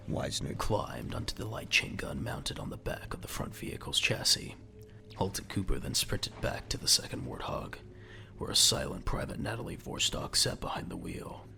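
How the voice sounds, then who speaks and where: A man's voice: deep voice, creaky, sharp tone, slight background noise. A man delivering dramatic scripted narration for an audio drama.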